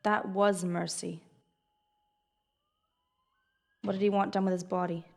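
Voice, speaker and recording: Woman acting a cold, creaky-voiced ruthless sellsword. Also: medium pitch, level tone, brisk, darkish tone, a little hiss or hum, lightly acted. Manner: curt, matter-of-fact. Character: cold, ruthless female sellsword, blunt and commanding. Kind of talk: fantasy audio drama acting.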